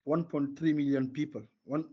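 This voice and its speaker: An older man from Senegal, a native Wolof speaker with a Senegalese accent, giving emphatic, opinionated interview answers in a husky voice and repeating words for stress. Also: a little high, fairly even intonation, moderate pace. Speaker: interview guest, former sports marketing executive. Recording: dull, lo-fi call audio.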